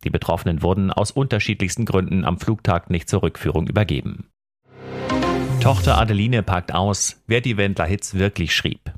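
Deep-voiced male newsreader. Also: moderate pace, over music. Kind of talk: scripted news reading.

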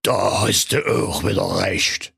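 A man voicing a big, gravelly dragon in a comic storybook tale, speaking with a Saxon accent. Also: low voice, slow pace, a bit bright, full character voice. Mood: cosy, chummy. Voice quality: gravelly, breathy. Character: huge gravelly dragon turned sweet and chummy.

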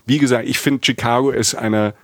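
A man's voice: mid-range voice, steady tone, a little fast, dry recording, studio quality. A man co-hosting a casual travel chat, talking enthusiastically and rambling with many restarts and fillers. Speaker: podcast co-host.

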